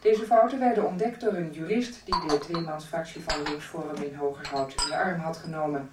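Actress as a low, even-voiced newsreader. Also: female voice, a little fast, close to an everyday voice. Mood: neutral, official. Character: sober female local radio newsreader. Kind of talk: formal scripted news bulletin. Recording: echoey, distant radio with clattering noise.

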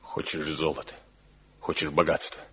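An actor playing a proud, anguished master craftsman in a Soviet radio play, speaking gravely and dramatically. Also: very deep voice, little pitch movement, moderate pace, a bit bright, full character voice. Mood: anguished pleading. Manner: grave, solemn, dramatic delivery. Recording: dull, muffled 1970 radio play recording.

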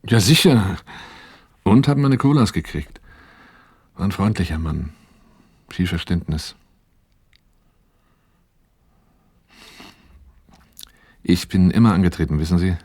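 A troubled, dutiful man on a therapist's couch in a radio play. His voice is very low and clean, recorded close and dry. He recounts his past matter-of-factly, with bitterness underneath, slipping into remembered dialogue. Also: moderate pace, long pauses, darkish tone, husky, no room sound. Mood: resigned bitterness. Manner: matter-of-fact, reflective, acting out remembered dialogue. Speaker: protagonist and first-person narrator of a radio play. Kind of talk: 1980s German radio play acting, confessional monologue.

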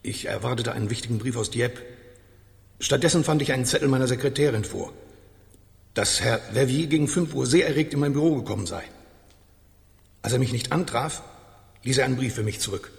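Gravelly formal lawyer played by a man, echoing hall. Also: mid-range voice, lightly acted. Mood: explaining. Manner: polite, measured, formal. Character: formal, concerned French lawyer bringing grave news. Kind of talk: 1970s German radio crime drama acting. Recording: long echoing hall reverb.

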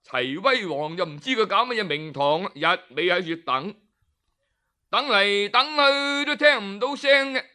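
Hk cantonese guy telling an old tale, high lively voice, takes it slow. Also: smooth. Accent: Hong Kong Cantonese. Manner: animated and dramatic, with interjections and pauses. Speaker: storyteller. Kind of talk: traditional Cantonese storytelling.

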